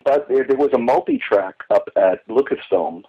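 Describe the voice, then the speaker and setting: A man in conversation as an interview guest, telling stories from memory in an animated way over a phone line. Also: mid-range voice, normal speed. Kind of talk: conversational reminiscing about radio drama. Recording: muffled, band-limited phone line.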